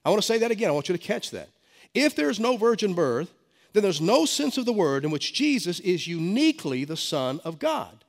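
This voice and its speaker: A man's voice: high voice, sing-song, fairly quick, vocal fry. A middle-aged male pastor with a head cold, preaching a sermon with emphatic stresses and stammered restarts. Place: church sanctuary. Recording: long hall reverb.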